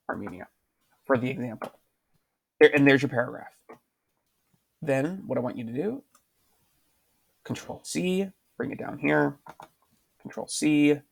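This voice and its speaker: A male teacher talks through a writing lesson in a calm, middle-pitched voice with a gravelly edge. He speaks patiently into his computer mic and keeps asking "why" to draw answers from his students. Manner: patient, repeats questions to prompt students. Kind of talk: screen-recorded writing lesson.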